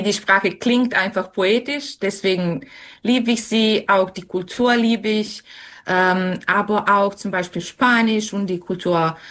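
Young woman, slow careful delivery, hesitant interview answers. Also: fairly low pitch, fairly bright tone. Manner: many fillers and restarts, thoughtful.

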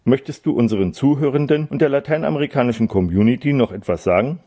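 A man hosting a radio magazine, reading a scripted intro and asking interview questions in a measured way. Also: mid-range voice, mellow. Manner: measured, read-aloud delivery.

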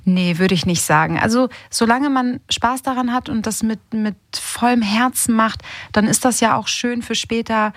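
A woman's voice: a little high, moderate pace, few pauses, no room sound, clean studio sound. A woman in her thirties from Hamburg, chatting informally and enthusiastically as an interview guest. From Hamburg, Germany. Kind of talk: casual interview chat. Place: radio studio. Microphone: studio microphone.

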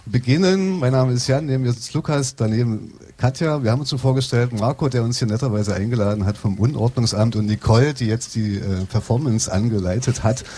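A man's voice: mid-range voice, fairly quick, few pauses, dark tone. A man casually hosting a live discussion, with wordy, roundabout phrasing. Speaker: host of a live radio discussion. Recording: some background noise, live event ambience.

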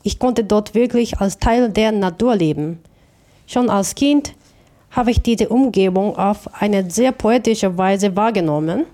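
A woman from Japan with a Japanese accent, giving reflective interview answers in a thoughtful, halting way with self-corrections. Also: medium pitch, mellow. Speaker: interview guest, artist.

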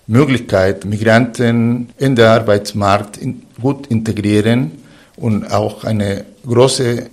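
A man hosting a radio talk show from a studio, introducing guests in a slow, halting, formal way. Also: fairly low pitch, a little mellow.

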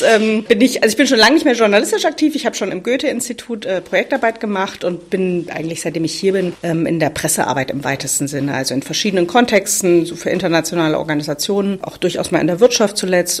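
Chatty, slightly breathy German woman. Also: on the low side. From Thuringia, Germany. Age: 40s. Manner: chatty, self-ironic, laughs often. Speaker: podcast guest. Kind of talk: casual conversational storytelling.

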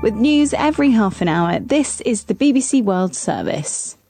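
A woman's voice: on the high side, big pitch swings, moderate pace, gravelly, music in the background. A woman reading scripted radio news and announcements as a newsreader in a studio. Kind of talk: scripted news bulletin and continuity. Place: dry broadcast studio.

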